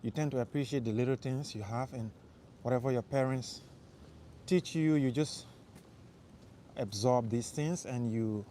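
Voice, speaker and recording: Relaxed man live-streaming on a night walk. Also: mid-range voice, measured pace, pauses often. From Liberia. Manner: relaxed, rambling, answers viewer questions. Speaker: livestream host. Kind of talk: casual live-stream chat while walking. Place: outdoors on a city street at night. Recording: faint street and traffic noise. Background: faint traffic noise.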